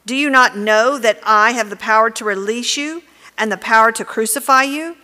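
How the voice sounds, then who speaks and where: A woman's voice: on the high side, moderate pace, pushed and bright, in a large hall. A woman reading the lines of a stern male Roman governor questioning a prisoner during a church Passion reading.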